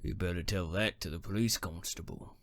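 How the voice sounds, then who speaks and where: A man's voice: deep voice, fairly quick, dry recording, clean studio sound. A man playing a plain-spoken old working-class cemetery worker in a radio drama, wry and colloquial. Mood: apologetic.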